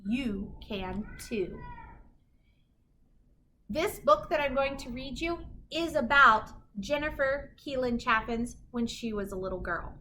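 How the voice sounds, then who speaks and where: A woman reading a children's picture book aloud in an animated, emphatic storytelling manner. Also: sits high, slow, a little hiss or hum. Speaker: children's story reader, teacher.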